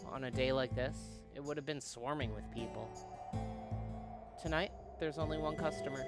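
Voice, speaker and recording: A reflective storyteller, read by a man, gently wry and resigned, narrates a visual novel in a mid-pitched voice. Loud music runs underneath. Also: lightly acted.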